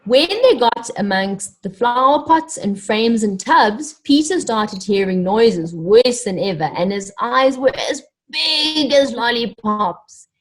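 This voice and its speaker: A woman reading a story aloud to children, animated and encouraging, pausing to ask them questions directly. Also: a little high, rough recording. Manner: animated, encouraging, addresses young listeners directly.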